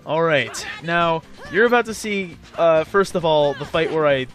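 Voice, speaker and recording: A man giving casual video game commentary, explaining in a halting, rambling way with sarcastic jokes. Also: a little high, expressive, a bit bright. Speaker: let's play host and player. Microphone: voice-over commentary recorded over game footage. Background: game music.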